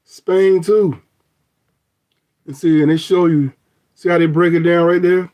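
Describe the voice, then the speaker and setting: A man's voice: a little high, fairly even intonation, a little slow, dark tone. Rambling, joking man on a phone. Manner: rambling, joking, with laughs and restarts. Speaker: vlogger. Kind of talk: casual review of DNA results.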